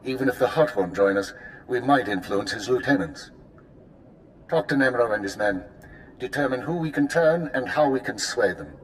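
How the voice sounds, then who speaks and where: A man voicing a stern, precise intelligence handler giving crisp, commanding orders in a video game. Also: mid-range voice, animated, normal speed, a little hiss or hum, natural voice, poor audio. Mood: briefing. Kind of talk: video game cut-scene acting.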